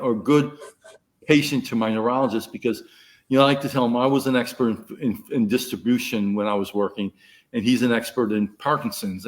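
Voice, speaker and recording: Loud middle-aged guy from the mid-atlantic us on a podcast, rambles, repeats himself as he starts. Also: mid-range voice. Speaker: podcast co-host. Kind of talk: casual chat.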